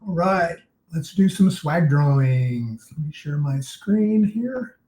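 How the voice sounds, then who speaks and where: A man's voice: fairly high pitch, lively intonation, takes it slowly, warm tone. A man casually co-hosting an online meeting and running a prize drawing. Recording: roomy echo on online call.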